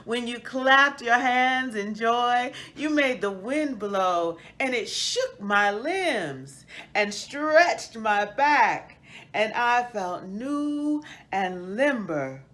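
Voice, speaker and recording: A woman's voice: fairly high pitch, animated, drawn-out words, fairly bright tone, voice pushed up, heavily acted. A woman voicing a young, joyful and grateful little-sister tree in a children's storybook, speaking emphatically. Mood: earnest, delighted.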